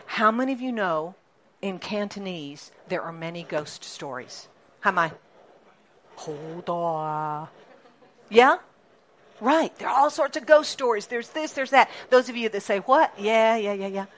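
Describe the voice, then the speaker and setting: A middle-aged woman pastor preaching a sermon in a lively, chatty way, often asking the congregation questions. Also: mid-range voice, a little slow, slight background noise.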